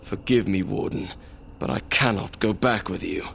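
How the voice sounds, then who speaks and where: An actor playing a regretful, reluctant deserter soldier in a video game, speaking in a grave, measured way. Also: male voice, sits low, gravelly, fairly bright tone, slight background noise. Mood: resigned, regretful. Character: regretful deserter soldier, a weary man resigned to fighting. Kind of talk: video game dialogue acting.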